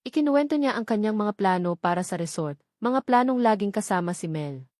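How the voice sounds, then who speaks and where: A woman's voice: mid-range voice, expressive, a little fast, darkish tone, no echo, studio quality. A woman with a Filipino accent narrating a scripted romance story as an audiobook.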